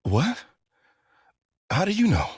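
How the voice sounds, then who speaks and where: A man playing a worried, protective and loyal character in a horror audio drama, with a husky, breathy voice. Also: sits high, huge pitch swings, slow, no echo, clean studio sound, exaggerated voice. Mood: worried, wary.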